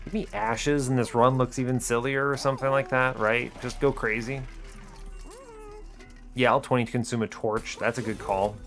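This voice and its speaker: A man with a medium-pitched voice talks through his moves as a gaming host. His commentary is casual and colloquial, with short wry asides as he reasons aloud. Game music plays faintly behind him. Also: level tone, moderate pace, a bit bright.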